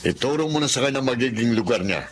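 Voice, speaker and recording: Man acting stern mid-pitched Filipino boss. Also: expressive, quick pace, very noisy, lightly acted. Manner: commanding, matter-of-fact. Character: stern, authoritative male creditor and household boss. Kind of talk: Filipino radio drama acting.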